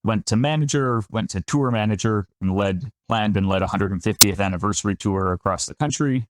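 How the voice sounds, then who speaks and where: A man's voice: lowish voice, brisk, noisy background. A man in his thirties from upstate New York, a winemaker guest on a podcast, chatting in a self-deprecating way with frequent restarts. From Finger Lakes region of New York. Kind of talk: conversational podcast interview.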